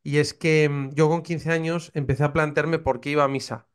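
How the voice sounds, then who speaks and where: A man's voice: a little high, level tone, normal speed, clean tone. A man in his thirties from Spain with a Castilian accent, a priest telling his faith story with earnest fervor. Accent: Castilian Spanish. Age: 30s. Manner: earnest, fervent storytelling, acts out his own past doubts. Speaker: priest, podcast guest. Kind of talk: personal testimony in conversation.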